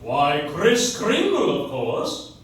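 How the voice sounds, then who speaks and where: Man acting a blustery high-voiced tycoon, echoey. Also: wildly swooping pitch, takes it slowly, heavily acted. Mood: genial, evasive. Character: blustery department store magnate on witness stand. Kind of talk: old-time radio play acting on stage. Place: live stage in a coffee house. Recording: very echoey, distant, noisy audience recording.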